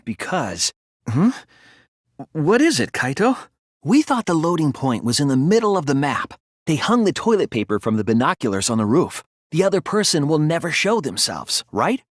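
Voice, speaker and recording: A man voicing an earnest, thoughtful teenage boy detective in an English video game dub, reasoning deliberately through his lines. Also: a little high, breathy edge, dry recording, studio quality, exaggerated voice. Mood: quiet, subdued, then urgent, alarmed.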